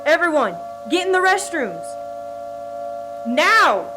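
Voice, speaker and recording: A woman's voice: very high voice, sing-song, takes it slowly, over music, heavily acted. A woman playing a commanding, no-nonsense diner worker in a farcical radio play. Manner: commanding, emphatic. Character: bossy, no-nonsense Southern diner worker, armed and defiant.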